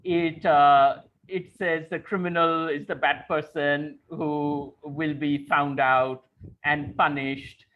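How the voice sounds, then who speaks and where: A man's voice: on the high side, level tone, takes it slowly. A man moderating a literary conversation, articulate, putting long and thoughtful questions to a guest. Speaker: interviewer, moderator. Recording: dull, lo-fi call-like audio.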